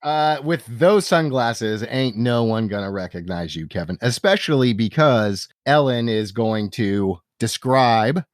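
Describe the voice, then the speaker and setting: A man's voice: mid-range voice, lively intonation, unhurried, few pauses, no echo, clean studio sound. A man narrating a tabletop role-playing game as game master, with theatrical storytelling and playful slang. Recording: music playing under the voice.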